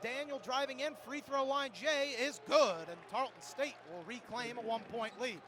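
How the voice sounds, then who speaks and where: A man's voice: very high voice, normal speed. A man calling a game live as a radio play-by-play announcer. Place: indoor sports arena. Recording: noisy live sports arena broadcast.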